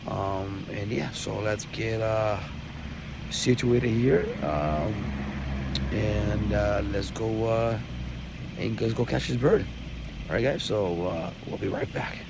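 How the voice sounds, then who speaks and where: A man's voice: on the low side, takes it slowly, gravelly. A young man losing his voice, talking hesitantly to camera with many ums and uhs in a casual vlog. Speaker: vlogger. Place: outdoors then at an airport. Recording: loud background noise.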